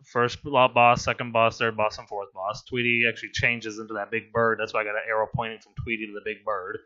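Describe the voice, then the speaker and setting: A hoarse man with laryngitis giving casual, rambling, amused gameplay commentary as a voice-over. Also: fairly low pitch, level tone, brisk, sharp tone, dull audio. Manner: rambling, amused and self-deprecating. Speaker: game commentator.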